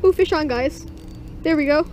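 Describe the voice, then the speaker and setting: An excited fishing vlogger narrating catches on a creek bank, calling out to the viewers as guys, recorded on a body-worn action camera. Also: sits high, normal speed. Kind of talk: excited first-person fishing commentary. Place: outdoors at a small creek bank. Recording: dull lo-fi camera audio with faint noise.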